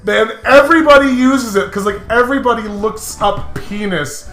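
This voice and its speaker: A man's voice: high-pitched, moderate pace, fairly bright tone. A man giving casual, crude and giggly joking commentary on a game, sometimes slipping into mock-dramatic narration. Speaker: let's play host. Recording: roomy echo, music underneath.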